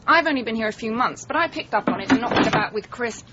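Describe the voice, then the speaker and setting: An actress playing an eager, flattering television development executive who gushes in sales jargon in a radio comedy. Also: female voice, a little high, fast talker, dull audio, very noisy, lightly acted. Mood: brisk, selling. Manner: gushing, jargon-laden salesmanship. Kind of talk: radio comedy drama acting.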